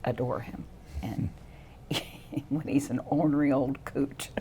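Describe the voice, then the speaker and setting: A woman in her sixties, a stage actress, chatting cheerfully with soft laughs in an interview. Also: rumbling low, fairly even intonation, slow, a little hiss or hum. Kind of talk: casual interview chat.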